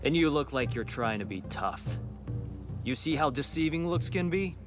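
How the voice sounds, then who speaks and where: A man's voice: a little high, lively intonation, moderate pace, over music, natural voice. A man playing a cocky thief who poses as a demon in a video game, taunting and flirtatious. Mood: teasing, menacing. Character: cocky, teasing male thief posing as a demon. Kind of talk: playful video game villain acting.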